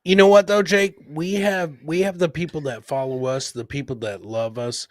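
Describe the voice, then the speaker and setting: A man's voice: fairly high pitch, steady tone, moderate pace, no echo, clean studio sound. A man hosting a casual livestream, blunt and emphatic, repeating himself and chummily dropping "man" and "brother". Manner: blunt, profane, emphatic and repetitive. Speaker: podcast host.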